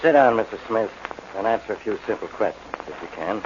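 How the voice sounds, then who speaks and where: An actor playing a gruff, impatient American police inspector in a 1940s radio drama. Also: male voice, fairly low pitch, lively intonation, fast talker, over loud music, natural voice. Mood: stern, probing. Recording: muffled 1940s radio recording, faint noise.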